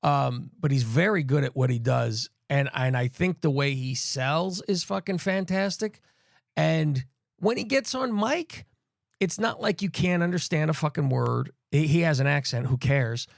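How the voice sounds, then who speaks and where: A man with a gravelly, slightly high voice talks in a loose, rambling way, swearing often and filling pauses with "um". He sounds close and dry on the mic, like a casual podcast chat. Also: lively intonation, dry recording. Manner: rambling, heavy swearing and fillers. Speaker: podcast co-host.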